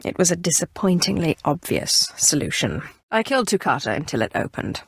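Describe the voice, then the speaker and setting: A woman's voice: lowish voice, big pitch swings, a little fast, creaky, breathy edge, dry recording, heavily acted. A woman playing a haughty, sly young Sith sorceress in a video game, with a condescending, dryly sardonic delivery. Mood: matter-of-fact. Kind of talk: scripted video game voice acting.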